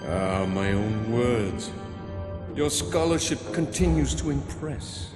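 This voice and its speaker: An actor playing a smug, calculating warlord villain in a video game, speaking in a deliberate, pompous, taunting way. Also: male voice, medium pitch, lively intonation. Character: villainous male martial warlord and mind-controller, smug and calculating. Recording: echoey hall, music behind.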